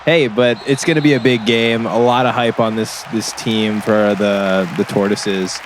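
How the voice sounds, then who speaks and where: A man playing an earnest small-town color commentator on sports radio, rambling in a halting, repetitive, folksy way. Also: on the low side, steady tone, lightly acted. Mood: chatty, hopeful. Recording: faint gym crowd and noise behind.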